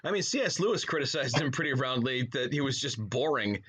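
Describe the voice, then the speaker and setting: Middle-aged man, mid-pitched voice, podcast guest, casual interview talk, jokey, colloquial, buddy-to-buddy delivery. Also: animated, brisk, fairly bright tone, slightly rough. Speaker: podcast guest, author and pastor.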